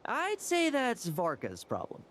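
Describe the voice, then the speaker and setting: A woman's voice: on the high side, wildly swooping pitch, unhurried, slight background noise. A woman voicing a sly, self-assured character in a video game, teasing and wry with a knowing, playful delivery. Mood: amused, teasing.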